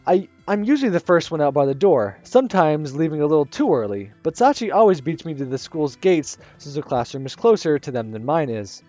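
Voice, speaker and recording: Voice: medium pitch, sing-song, brisk, few pauses, dark tone, dull audio, faint music behind. Gamer reading a visual novel aloud. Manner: reading aloud with stumbles and restarts. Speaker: gaming channel host. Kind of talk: visual novel let's play narration.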